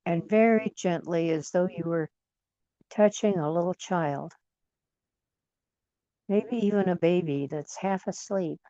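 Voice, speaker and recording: A woman leading guided imagery in a soothing, gentle manner, leaving long pauses between phrases. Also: on the low side, no echo, lo-fi sound. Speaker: guided meditation leader.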